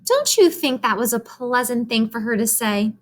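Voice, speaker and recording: Woman reading as an earnest, animated young farm girl. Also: fairly high pitch, moderate pace, pitched above the performer's own voice. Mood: eager, matter-of-fact. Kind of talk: storybook character voice.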